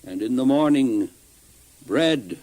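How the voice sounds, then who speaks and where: An actor playing a stern, solemn prophet who addresses his people in a declamatory, deliberate way in a children's audio Bible drama. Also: medium pitch, lively intonation, warm tone. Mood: solemn, proclaiming.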